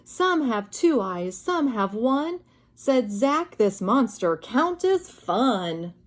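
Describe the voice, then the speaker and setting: A woman reading aloud to young children in an expressive, sing-song manner, like a teacher guiding them through a picture book. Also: on the high side, slow pace. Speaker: children's story reader, teacher.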